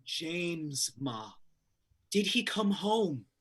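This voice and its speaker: A woman's voice: on the low side, big pitch swings, very slow, slightly rough, exaggerated voice. A woman playing a frightened young boy who pleads urgently with his mother. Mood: frantic, pleading.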